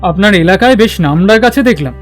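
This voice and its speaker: A man's voice: high voice, expressive, brisk. A man voices an earnest young man in an audio love story. He speaks politely and conversationally, over a bed of music.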